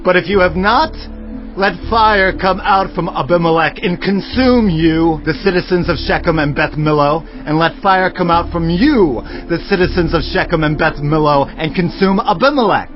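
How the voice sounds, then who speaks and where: An actor playing an indignant young brother addressing a crowd in a solemn, declamatory way in a dramatized Bible audio production. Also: male voice, sits high, normal speed, natural voice. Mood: accusing. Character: Jotham, indignant youngest son reproaching a crowd with a parable. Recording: dull lo-fi sound, music behind.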